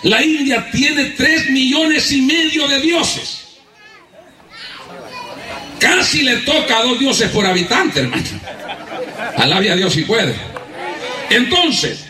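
High, bright-voiced Honduran preacher, echoey. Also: male voice. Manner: emphatic, often laughing, shouts out calls for praise and applause. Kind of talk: fervent evangelical sermon. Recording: echoey church hall miked from a distance.

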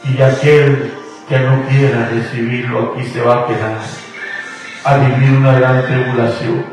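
Slow, sing-song radio preacher. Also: male voice, medium pitch, flat delivery. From Guatemala. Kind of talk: radio sermon. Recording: echoey, distant radio broadcast with faint music.

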